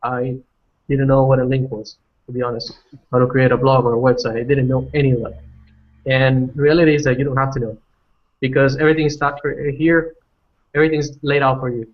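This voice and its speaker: Flat-voiced man on a video call. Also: medium pitch. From Colombia. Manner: halting, many restarts and fillers. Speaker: panelist. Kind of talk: personal story on a webinar. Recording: dull lo-fi video call audio.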